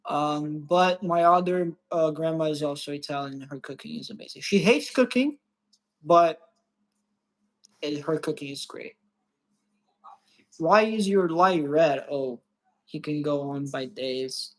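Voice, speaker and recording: A man's voice: a little high, unhurried, long pauses. Casual rambling man from Brazil. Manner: loose, swearing, rambling with restarts. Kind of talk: casual chat.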